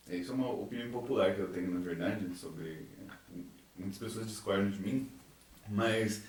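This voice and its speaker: A young Brazilian man chatting casually on a podcast, giving his opinions in an informal way. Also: on the low side. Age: young adult. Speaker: university student in a science theatre group. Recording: very echoey room, distant from microphone.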